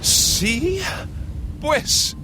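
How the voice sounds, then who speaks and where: Creepy giggly sailor who's lost it, slow and swoopy, loud storm behind. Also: male voice, very high-pitched, very animated, very noisy, exaggerated voice. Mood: frantic. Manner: giggling, courtly, then frantic chanting. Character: sailor losing his mind, talking to unseen ghosts. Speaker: sailor aboard the ship.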